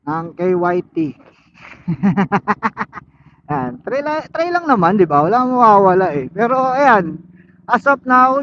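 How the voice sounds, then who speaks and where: High-voiced man walking through a DIY fix. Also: a bit bright, muffled recording, a little hiss or hum. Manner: chatty, keeps pointing things out with short interjections. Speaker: DIY vlogger. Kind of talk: casual how-to tutorial.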